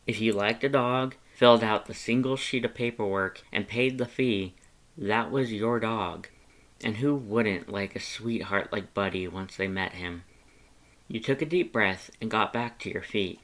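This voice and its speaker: A man's voice: lowish voice, measured pace, fairly bright tone. A man reading scripted second-person fan fiction as a storyteller.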